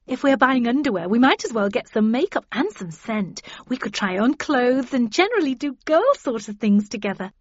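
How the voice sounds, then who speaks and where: A woman's voice: medium pitch, lively intonation, moderate pace, husky, dry recording, dull audio. Woman doing a bubbly girlish chatterbox for an audiobook, vain n gushy, kinda childish, dry recording. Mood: pleading, eager. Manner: gushing, jabbering, childish.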